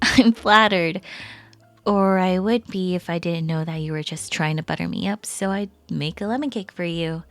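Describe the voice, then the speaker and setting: A woman playing a chatty, teasing female best friend in casual, animated roleplay audio. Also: fairly low pitch, normal speed, no room sound, soft background music. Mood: playful indignation.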